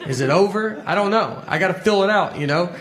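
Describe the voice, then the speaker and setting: A man in his forties doing deadpan stand-up comedy, telling slow stories with acted-out dialogue on stage. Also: high-pitched. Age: 40s. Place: stage before a live audience. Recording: loud audience laughter behind.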